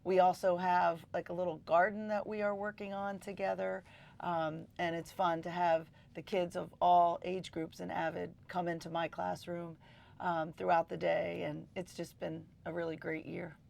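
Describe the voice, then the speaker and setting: A woman's voice: on the low side, flat delivery, moderate pace, vocal fry, a little mellow. A middle-aged woman teacher talking in an interview, enthusiastic and full of ums. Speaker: high school teacher.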